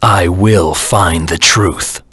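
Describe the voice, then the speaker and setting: Dark video game antihero, very husky rasp, hard forceful edge, terse, vengeful, self-assured. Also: male voice, deep voice, very slow, no echo, studio quality, heavily acted. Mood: grim, determined. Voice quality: gravelly, husky. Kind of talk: scripted video game character voice acting.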